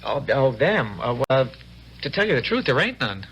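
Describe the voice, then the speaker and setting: A man's voice: mid-range voice, sing-song, moderate pace, a bit bright, slightly rough, dull audio, full character voice. A man playing an eager, naive young American soldier in a 1970s radio drama, stammering, folksy and deferential. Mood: flustered. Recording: vintage 1970s radio broadcast.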